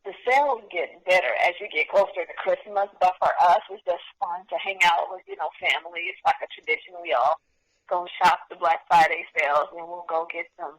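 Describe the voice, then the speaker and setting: A woman's voice: fairly low pitch, a little fast, rough recording. Chatty woman singer as a guest. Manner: friendly, chatty, with frequent ums. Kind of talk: casual interview chat.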